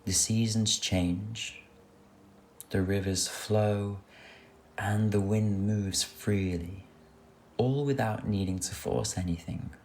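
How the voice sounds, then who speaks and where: A man leading a guided meditation with gentle, soothing, unhurried instruction. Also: on the low side, fairly even intonation, a little hiss or hum. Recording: soft music under the voice. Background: music.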